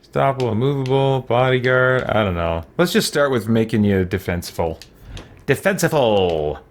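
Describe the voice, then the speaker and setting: Animated man musing through a video game. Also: mid-range voice, moderate pace. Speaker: let's play host. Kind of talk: casual game commentary, thinking aloud.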